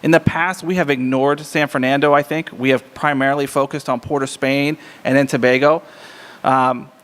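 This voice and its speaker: Male guest speaker, American accent, booming medium-pitched voice, candid relaxed wording, long room reverb. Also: normal speed, clean tone. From United States. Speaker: embassy diplomat, guest speaker. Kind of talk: informal public address. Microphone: lectern microphone. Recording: echoey reverberant hall.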